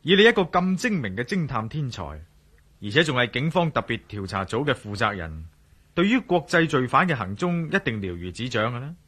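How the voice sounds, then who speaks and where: An actor playing a shrewd, composed adventurer in a Cantonese radio drama, measured, wry and self-assured, with a Hong Kong accent. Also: male voice, medium pitch, sing-song, fairly bright tone, breathy edge. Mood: flattering, probing. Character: shrewd, composed male adventurer and amateur sleuth.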